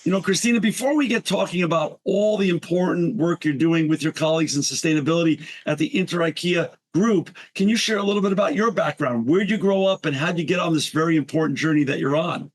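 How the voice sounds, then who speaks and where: A man's voice: fairly high pitch, fast talker, hardly any pauses, dry recording. A middle-aged man hosting an interview podcast, reading the sponsor spots and welcoming his guest with enthusiasm. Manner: enthusiastic, effusive. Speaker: podcast host. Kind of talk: podcast hosting and read ads.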